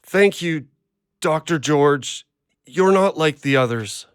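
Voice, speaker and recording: An actor playing an agitated, hard-up injured ex-logger who rambles bluntly in an audio play. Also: male voice, a little high, lively intonation, slow pace, clear, resonant tone, no echo, clean studio sound. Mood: grateful. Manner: blunt, rambling, plain-spoken.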